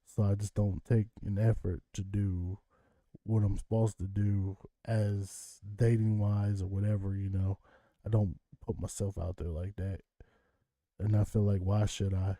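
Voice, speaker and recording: Dark-voiced Southern man chatting. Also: lowish voice, level tone, measured pace, dry recording. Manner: rambling, chuckles often. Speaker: vlogger. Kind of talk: casual monologue.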